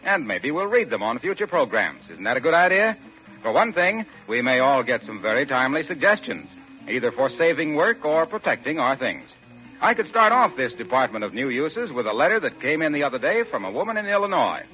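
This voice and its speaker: Bright, swooping American radio announcer man. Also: mid-range voice, big pitch swings, brisk, faint music behind. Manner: folksy, polished salesmanship. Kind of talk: 1940s radio announcing and sponsor pitch. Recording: dull, lo-fi 1940s radio recording.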